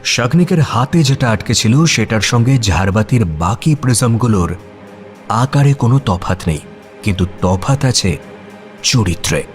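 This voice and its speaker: A man narrating a scripted suspense story as a dramatic storyteller, using pauses for tension. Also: medium pitch, lively intonation. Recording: music behind the voice.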